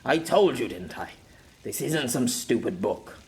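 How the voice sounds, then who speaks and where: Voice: mid-range voice, animated, a little slow, a little mellow. A narrator doing a desperate lonely man. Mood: anguished. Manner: desperate, insistent. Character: lonely male writer, stubborn and desperate. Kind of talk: audiobook character voice.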